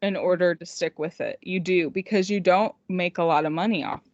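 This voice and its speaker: A woman's voice: lowish voice, fairly even intonation, fairly quick, dry recording. Female indie author co-hosting a podcast, self-deprecating and conversational, with a dead-room, dull lo-fi sound. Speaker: podcast co-host. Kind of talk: casual chat.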